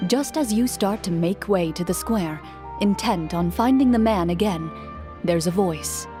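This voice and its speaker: A woman voicing a composed, formal game master who narrates a story in measured tones in a video game. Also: mid-range voice, music in the background, lightly acted. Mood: solemn storytelling.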